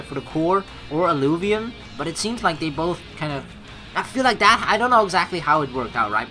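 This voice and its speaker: A woman playing through a game, reading the story aloud and reacting excitably with casual swearing. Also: low-pitched. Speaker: let's play streamer reading a visual novel aloud.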